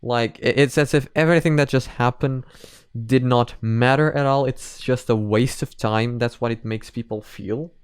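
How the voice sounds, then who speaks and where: A man's voice: mid-range voice, steady tone, normal speed, clean tone, no echo, studio quality. A man hosting a reaction video, giving casual commentary and thinking aloud in a hesitant, repetitive way.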